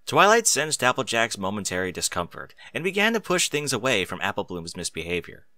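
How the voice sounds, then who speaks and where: A man's voice: on the low side, wildly swooping pitch, brisk, sharp tone, no echo. A man reading scripted story narration as an audiobook narrator.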